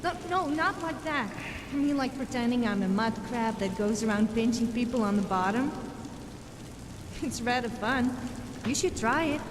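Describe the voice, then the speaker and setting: A woman's voice: a little high, expressive, moderate pace, distant mic, close to an everyday voice. Woman voicing a cheeky teasing follower. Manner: teasing, flirtatious, giggly. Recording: echoey game audio with long reverb and clear noise.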